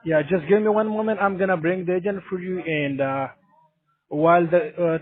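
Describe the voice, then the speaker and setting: A man's voice: high-pitched, normal speed. A man working as a call center agent, politely and agreeably running a scripted sales call. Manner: polite, agreeable, repeats "exactly". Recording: narrow phone line.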